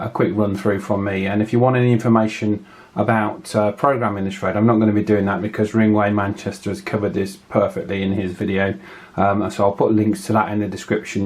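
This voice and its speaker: A man hosting a casual, unscripted hands-on product review, speaking hesitantly and pausing often mid-phrase. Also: on the low side. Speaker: radio review channel host.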